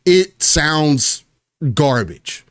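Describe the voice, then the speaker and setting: A man's voice: on the high side, sing-song, very slow, clean tone, no echo, studio quality. A man hosting a casual gaming podcast, talking loosely with lots of fillers and restarts. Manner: rambling, many fillers and restarts. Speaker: podcast host.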